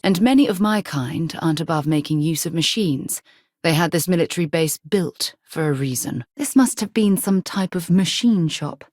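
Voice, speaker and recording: Composed woman explorer, low-pitched. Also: expressive, moderate pace, darkish tone, no echo, studio quality. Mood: composed, matter-of-fact. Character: composed, principled female space explorer, wry and earnest. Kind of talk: video game character dialogue.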